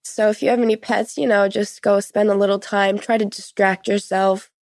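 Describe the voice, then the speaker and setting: A woman's voice: medium pitch, little pitch movement, moderate pace, dry recording. A teenage young woman talking as a podcast guest, in casual, earnest, conversational phrasing. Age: teens. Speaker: podcast guest sharing personal experience. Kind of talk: conversational interview.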